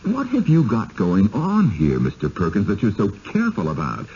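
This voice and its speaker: An actor playing a suspicious, proud city husband in a 1970s radio drama, emphatic and incredulous. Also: male voice, on the low side, huge pitch swings, moderate pace, mellow. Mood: indignant, exasperated. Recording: muffled, hissy 1970s radio broadcast.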